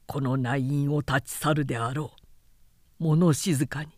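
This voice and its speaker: A man's voice: medium pitch, steady tone, unhurried, a little mellow, dry recording, studio quality, voice pushed down, close to an everyday voice. Woman performing a grave, commanding warrior general. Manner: grave, archaic warrior speech. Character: stern, loyal Chinese general, grave and commanding. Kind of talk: dramatic historical novel reading.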